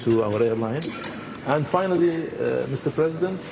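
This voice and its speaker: A man, a business executive, making halting formal remarks at a meeting. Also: medium pitch, lively intonation, creaky. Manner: halting, with fillers. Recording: noisy clip with background clatter.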